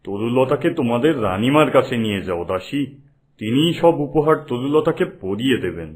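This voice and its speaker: A man's voice: mid-range voice, lively intonation, normal speed, lightly acted. A man voicing a kindly older king in a cartoon who speaks to his son in a fatherly way. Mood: reasoning. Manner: warm fatherly, commanding to servants. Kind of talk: Bengali cartoon dubbing.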